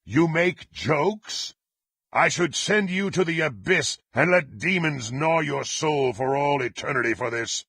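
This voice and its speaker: An actor playing a power-hungry, contemptuous dark warrior in a fantasy video game, with a gravelly, husky voice and haughty, theatrical, archaic phrasing. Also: male voice, on the high side, animated, measured pace, no echo, studio quality, exaggerated voice. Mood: furious, threatening.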